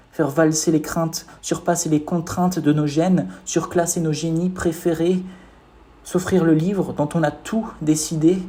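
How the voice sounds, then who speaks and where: Man reciting spoken-word poetry, soft dark tone. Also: on the high side. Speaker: poet reciting own poem.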